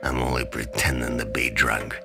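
An actor playing a grim, obsessive, proud male vigilante, gravelly and brooding, in a dark comic-book drama. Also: a bass voice, flat delivery, music in the background. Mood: defiant. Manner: brooding, terse, deliberate.

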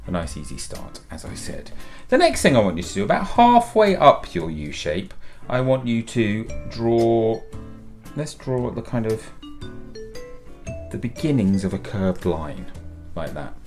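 A man's voice: fairly low pitch, big pitch swings, unhurried, darkish tone, soft background music. A man in his fifties, a children's author and illustrator, cheerfully talking young children through a drawing step by step from his home studio. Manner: upbeat and encouraging, talks children through each step.

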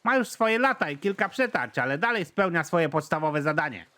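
A man's voice: a little high, very animated, fairly quick, pushed and bright. A man voicing a defensive, pompous male deadbeat father who justifies himself at length. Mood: indignant. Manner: indignant, long-winded self-justifying.

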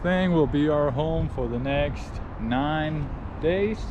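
A man's voice: fairly high pitch, slow, background noise. A young Danish man, Danish his first language, chatting casually to an action camera on a travel vlog. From Denmark. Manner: relaxed, chatty, talking to camera. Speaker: vlogger. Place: large store indoors and parking garage. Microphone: action camera held close.

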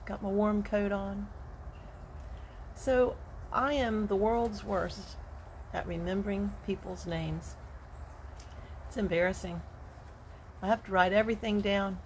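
A woman in her sixties, a retired teacher, sharing a gentle, earnest devotional while recording on her phone on an outdoor porch. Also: mid-range voice, fairly even intonation, a little hiss or hum, poor audio. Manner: slow, gentle and earnest, with soft laughs. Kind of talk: casual devotional walk-and-talk vlog. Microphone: phone held at arm's length.

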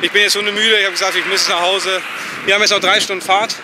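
A man's voice: high-pitched, flat delivery, fast talker. A man, vlogging casually in blunt, colloquial language. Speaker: vlog host. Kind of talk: casual vlog chat. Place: outdoors, at an event and along a roadside. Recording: loud outdoor ambient and vehicle noise.

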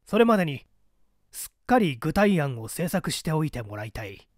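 Man voicing a stern, commanding underground agent, lively pitch. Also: normal speed, dry recording, clean studio sound. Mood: formal, commanding. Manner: authoritarian, clipped, formal orders. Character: stern, commanding male secret-party courier in a mask. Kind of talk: dramatized audiobook dialogue.

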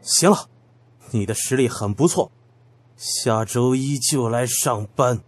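A man's voice: mid-range voice, slow. Man acting a cocky, curt young manager. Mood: cool. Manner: cool, curt, self-assured. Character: cocky, offhand young male planning manager. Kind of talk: audio drama acting. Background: background music.

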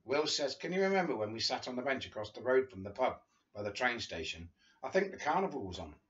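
A man's voice: mid-range voice, big pitch swings, fast, fairly bright tone, lo-fi sound. Older man reading a memoir on his sofa. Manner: chatty, chuckling asides between read passages. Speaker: reader of a friend's memoir. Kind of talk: casual book reading to camera. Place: living room sofa, indoors.

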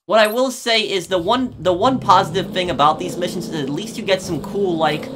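A man's voice: high voice, a little fast, a bit bright, a little hiss or hum. A man giving informal, conversational commentary as he hosts a gameplay video. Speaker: gaming video host. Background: video game sounds.

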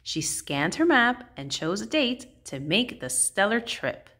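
A woman reading a rhyming children's picture book aloud in a measured, sing-song, expressive way. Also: medium pitch.

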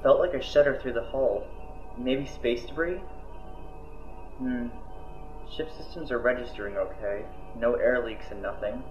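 A man's voice: mid-range voice, a little slow, pauses often, lightly acted. Grumbling man as space pilot, echoey. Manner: casual grousing. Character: grumbling, world-weary male cargo ship pilot. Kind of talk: audio drama acting. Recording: dull, echoey sound with music.

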